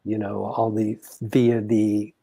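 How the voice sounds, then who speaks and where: A man in a reflective coaching conversation, explaining things in measured, deliberate phrases. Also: fairly low pitch, flat delivery, gravelly, dark tone, husky.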